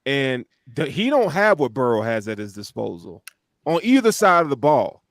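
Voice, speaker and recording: A man's voice: medium pitch, sing-song, moderate pace, dry recording, studio quality. A man co-hosting a sports podcast, chatting casually in an emphatic, slangy way.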